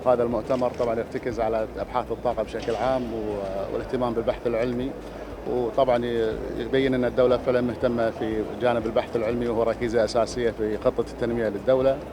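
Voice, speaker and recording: Very fast-talking official man. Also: mid-range voice, flat delivery, noisy background. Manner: formal, with halting restarts. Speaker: official representative. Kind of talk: formal event statement.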